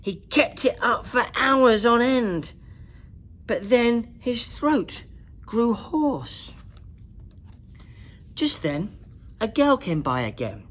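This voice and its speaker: A woman narrating a children's picture book in an expressive, dramatic, singsong way, like a principal at story time. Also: fairly high pitch, drawn-out words, long pauses. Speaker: school principal reading to children.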